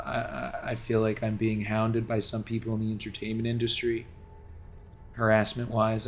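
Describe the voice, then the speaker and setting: A man from Los Angeles talks straight to his stream viewers at home, his voice staying level and even in pitch from line to line. He rambles earnestly, stopping and restarting his sentences and leaning on fillers, while a faint hum of room ambience sits underneath. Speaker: game streamer.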